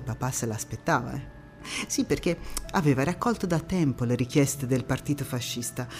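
A woman playing a proud, defiant anti-fascist partisan who recalls her youth in a theatrical monologue, with measured phrasing and deliberate pauses. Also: low-pitched. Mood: indignant, suspenseful. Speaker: stage actress in a one-woman monologue. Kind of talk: theatrical first-person storytelling monologue.

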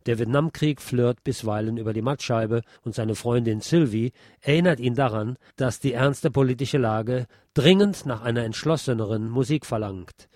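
A man's voice: mid-range voice, moderate pace, hardly any pauses, a little mellow, no room sound, clean studio sound. A man presenting a radio programme, reading a scripted film review.

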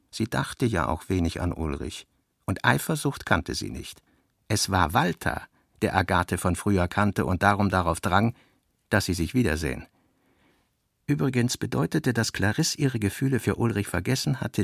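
Creaky-voiced man narrating literature. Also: on the low side, no echo, studio quality. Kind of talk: scripted literary narration for radio play.

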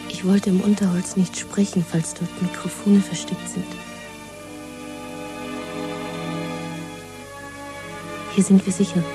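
A woman's voice: mid-range voice, close to an everyday voice. An actress in a German radio drama playing a bold, secretive young woman in love, half-whispering in a cool, intimate, knowing way. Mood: hushed, secretive.

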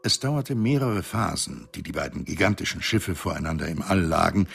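Low, gravelly, husky cosmic storyteller. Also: male voice, lively intonation, normal speed, a bit bright, exaggerated voice. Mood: wry. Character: genial, ancient once-human male mind of space station. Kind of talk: storytelling narration in science fiction radio play.

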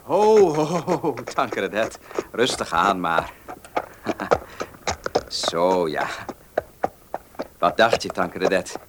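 Country suitor on a horse, radio drama, slow but swingy delivery, noisy audio. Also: male voice, lowish voice, lively intonation. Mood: soothing, musing. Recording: noisy radio scene with clicks.

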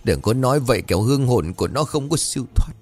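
A man's voice: mid-range voice, lively intonation, quick pace, a little mellow, soft background music, voice pushed up, lightly acted. A man voicing a distraught older aunt who pleads shakily, in a story reading. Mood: pleading. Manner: shaky, pleading.

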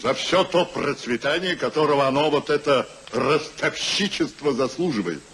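An actor playing an obsequious, cautious moneylender in a 1950s radio play. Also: male voice, on the high side, expressive, moderate pace, fairly bright tone. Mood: careful. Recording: faintly noisy old radio recording.

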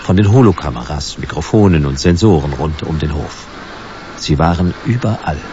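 An actor playing a world-weary private detective of the future in a radio drama, dry, laconic and sardonic, narrating in noir style. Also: male voice, rumbling low, animated, moderate pace. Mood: wry narrating. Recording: dull, noisy radio drama recording.

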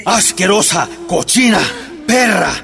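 A gravelly, furious army colonel. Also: male voice, high-pitched, lively intonation, slow pace, exaggerated voice. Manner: shouting insults. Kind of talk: radio drama acting. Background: music.

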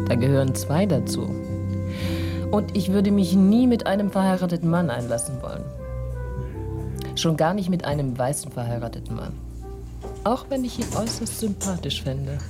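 Actress as female cop partner, deep for a woman, direct and probing, radio play with music behind. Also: expressive, normal speed, pauses often, natural voice. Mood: probing, frank. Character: frank, perceptive female police partner, self-assured. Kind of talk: German radio drama acting.

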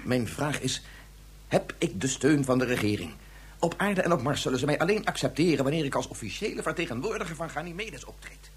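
An actor in a Dutch radio drama playing a guilt-ridden, determined scientist and father, speaking in an earnest, measured, insistent way. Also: a little high, animated, breathy edge.

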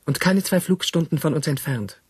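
Station radio operator, really husky, highish voice, dutiful, dry sound, sci-fi radio drama. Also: male voice, lively intonation, normal speed, dry recording. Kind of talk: 1980s German science fiction radio play.

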